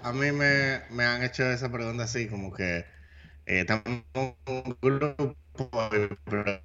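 A man's voice: medium pitch, moderate pace, bright, forceful tone, muffled recording, slight background noise. A man with a Dominican accent co-hosting a podcast, chatting loosely and dropping English words into his speech. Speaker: podcast co-host. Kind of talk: casual chat.

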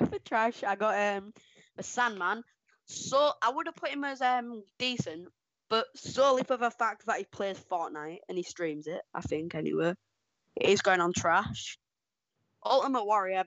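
A woman co-hosting a casual podcast, excitable and quick to laugh. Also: mid-range voice, no echo, highs cut off. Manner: excitable, laughs often, animated outbursts. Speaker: podcast co-host. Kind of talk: casual chat ranking wrestlers.

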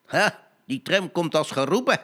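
A man's voice: fairly high pitch, animated, a little slow, a bit bright, voice pushed up. A man voicing a pair of sly, smug thieves in a storybook, speaking in a raised, gleeful voice.

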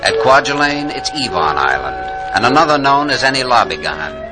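A man acting as a solemn, formal narrator in 1940s radio style, measured and declamatory, on an old broadcast recording. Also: medium pitch, sing-song, over loud music, close to an everyday voice, low-quality recording. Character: solemn memorial narrator reading a roll of war cemeteries. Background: prominent musical score.

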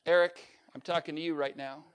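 A male pastor preaching a sermon, building up to a repeated refrain and swinging between loud peaks and quiet, low asides. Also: steady tone, brisk, smooth. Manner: emphatic preacher with swings in pitch and loudness, repetitive refrain.

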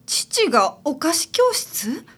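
A woman plays a sincere, anxious client visiting a detective in a radio drama. Her high voice is polite and a little hesitant. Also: sing-song, takes it slowly, a bit bright, full character voice. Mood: puzzled. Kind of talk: amateur radio drama acting.

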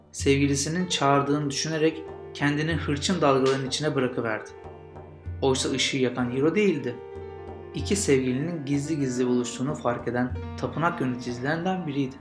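Man, story podcast host, fairly high pitch, guitar music behind, noticeable room echo. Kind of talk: casual intro and narrated legend storytelling.

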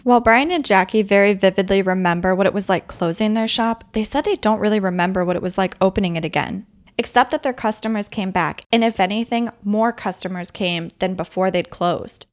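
A woman's voice: mid-range voice, fairly quick. A woman hosting a podcast, reading scripted narration that tells a story. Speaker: podcast host and interviewer.

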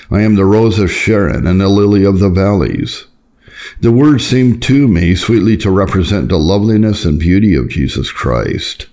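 A man with a deep, low voice reads an old religious memoir aloud. His delivery is that of a formal audiobook narrator. He moves through the long sentences in measured phrases. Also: a little mellow. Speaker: audiobook narrator reading historical Puritan writings.

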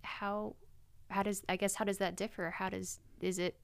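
A young American woman in her late teens talks casually on a podcast, putting questions to the host. Her voice is clean and smooth at an average pitch, with a dry, close sound. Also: little pitch movement, normal speed, no room sound. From North Carolina, United States. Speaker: college student, podcast editor and interviewer.

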